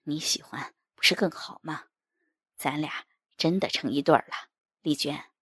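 A woman voicing a brooding, yearning husband in an audio story, speaking in a soft, hushed, wistful manner. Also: low voice, moderate pace, breathy edge, dry recording, clean studio sound. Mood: gentle, yearning.

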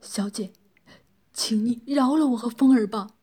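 A frightened, submissive captive man pleads in a husky voice , played by a woman narrator. His delivery is servile and anxious to obey, in audiobook drama style. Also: mid-range voice, flat delivery, slow, warm tone. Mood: desperate pleading.

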